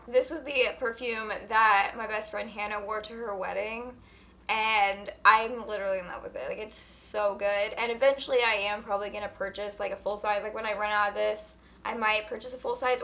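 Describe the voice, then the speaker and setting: A young woman with a hoarse voice from a sore throat, chatting casually as she reviews beauty products, full of filler words. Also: medium pitch, sharp tone. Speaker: beauty vlogger.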